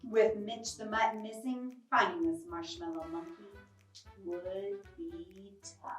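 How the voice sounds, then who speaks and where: A woman's voice: mid-range voice, steady tone, slow, distant mic, natural voice. A woman voicing a hard-boiled cat detective who narrates a storybook case in a dramatic, clipped noir manner. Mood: brooding, determined. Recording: roomy, off-mic, music behind.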